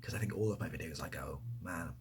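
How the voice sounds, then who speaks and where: A young man with an English accent chatting softly in an ASMR style, hesitant and rambling, often dropping to a near-whisper. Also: a bass voice, fast talker, noisy background. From near Bath, England. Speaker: ASMR video creator. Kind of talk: softly spoken ASMR casual chat answering questions.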